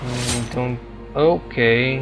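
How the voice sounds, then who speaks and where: A man doing casual game commentary, thinking aloud in halting fragments as he plays. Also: medium pitch, faint music behind. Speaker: gaming video host playing and commenting.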